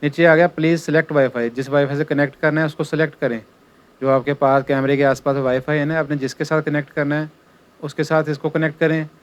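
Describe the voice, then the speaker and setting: A man's voice: on the high side, little pitch movement, fast talker, smooth. A man giving an informal hands-on tutorial as an installer, explaining step by step and repeating his instructions.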